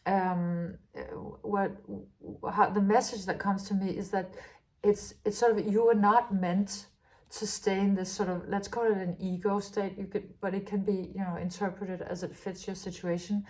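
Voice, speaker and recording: A woman from Denmark giving a tarot reading in a halting, musing way, with many restarts and fillers. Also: medium pitch, steady tone. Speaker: tarot reader. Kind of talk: spontaneous spiritual tarot reading.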